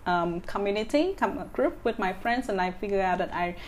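A woman's voice: medium pitch, expressive, normal speed, a little hiss or hum. A woman in her thirties from Vietnam with a Vietnamese accent, speaking as an interview guest with many fillers and restarts. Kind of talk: casual interview.

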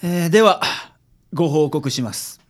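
A man with a Kansai accent acting a confident, frank private detective in a radio drama, explaining things in a measured way. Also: fairly high pitch, lively intonation, natural voice. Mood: calm, formal. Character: confident, frank male private detective reporting calmly to a client. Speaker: amateur radio drama actor.

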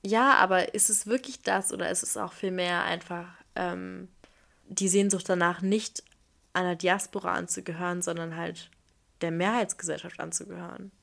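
Creaky-voiced woman podcast co-host. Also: on the low side, steady tone, moderate pace, a bit bright. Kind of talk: casual podcast discussion.

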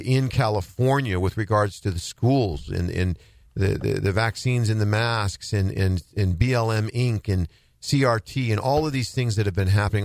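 A lower-pitched man hosting a faith-based radio talk show. He sounds earnest and emphatic and repeats words to drive a point home. The sound is dry and close. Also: a little mellow, no echo.

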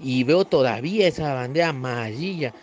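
A man's voice: medium pitch, animated, moderate pace, slight background noise, natural voice. A man voicing an aging, bitter male football fan with a northern Argentine accent, reading a short story aloud and calling the listener "señor". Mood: bitter, nostalgic. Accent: northern Argentine (put on).

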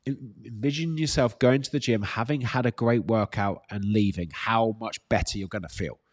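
A man's voice: on the low side, moderate pace. A British man with a slightly congested voice, working as a fitness coach, answering questions casually and giving direct, encouraging advice with emphatic repetition. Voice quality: slightly congested, bunged-up nose. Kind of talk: casual live Q&A, reading out questions and advising.

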